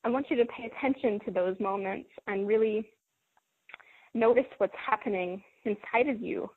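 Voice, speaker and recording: A woman hosting a self-help conference call, talking in a gentle, encouraging, measured way. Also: medium pitch, breathy edge, dry recording. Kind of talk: coaching talk. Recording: phone line, dry and lo-fi.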